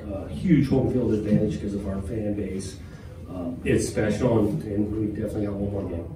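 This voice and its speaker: Plainspoken coach at a far podium mic. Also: male voice, on the low side. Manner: measured, plainspoken, with frequent fillers. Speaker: head football coach. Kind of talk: postgame press conference answers. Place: press conference room with a logo backdrop. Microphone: podium microphone, filmed from a far camera. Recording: roomy, distant, with room noise.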